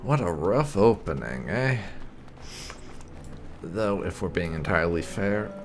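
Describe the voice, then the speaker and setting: A man's voice: low voice, expressive, a little slow, slight background noise. A man giving laid-back, conversational roleplay commentary as the host of a gaming video. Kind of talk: casual roleplay game commentary.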